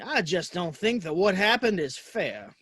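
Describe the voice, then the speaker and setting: An earnest American cowboy in a Western audio play, performed by a man. His voice is high and bright and moves around a lot. He is folksy and a bit hesitant, shy but stubborn. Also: lively intonation, unhurried, breathy edge, dry recording, studio quality, exaggerated voice. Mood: defiant. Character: earnest, plain-spoken cowboy, shy yet defiant.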